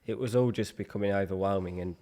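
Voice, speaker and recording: A man co-hosts a relaxed chat podcast. His voice sits a bit low. He rambles, jokes at his own expense and keeps circling back on his sentences. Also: fast. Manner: rambling, self-deprecating, jokey. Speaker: podcast co-host. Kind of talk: casual chat.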